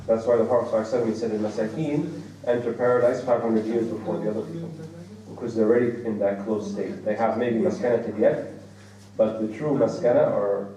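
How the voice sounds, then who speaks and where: A man's voice: lowish voice, fast talker, mellow. An American man giving a religious lecture, explaining Arabic terms with vivid everyday examples, recorded from a distance in an echoey hall. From United States. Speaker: Islamic lecturer. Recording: echoey hall, distant mic, faint noise.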